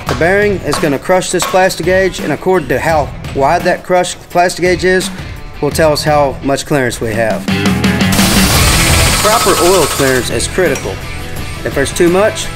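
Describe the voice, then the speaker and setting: High-pitched American man, a mechanic. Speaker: diesel mechanic presenting a tutorial. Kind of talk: step-by-step how-to explanation. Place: large indoor heavy equipment workshop.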